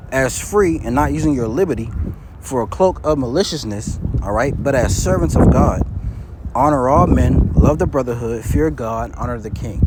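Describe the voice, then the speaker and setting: A man giving a casual bible teaching outdoors, constantly checking in with "all right" and "you know". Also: mid-range voice. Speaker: street preacher. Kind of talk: informal bible teaching video. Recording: loud wind and traffic noise.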